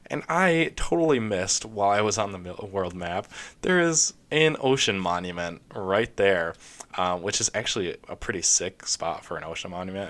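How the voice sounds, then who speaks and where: A man's voice: low voice, a bit bright. A young man talking casually over a game he is playing, rambling with many fillers, his throat scratchy from a recent illness and breaking into coughs. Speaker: gaming video creator. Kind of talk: casual let's play commentary.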